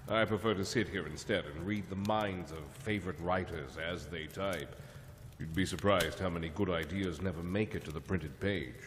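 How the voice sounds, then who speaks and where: An actor playing a wise, calm telepath mentor who speaks in a measured, lecturing way. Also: male voice, sits low, a little hiss or hum, lightly acted. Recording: long reverb over the voice. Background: music score.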